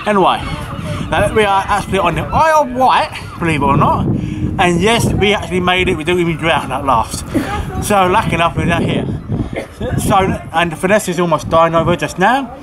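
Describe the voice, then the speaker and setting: A man's voice: high-pitched, lively intonation, normal speed. A man in his thirties chatting cheerfully and rambling to the camera outdoors on a quay. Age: 30s. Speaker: trip participant interviewed. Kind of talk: casual chat to camera. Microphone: camera mic across a table. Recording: outdoor noise from traffic.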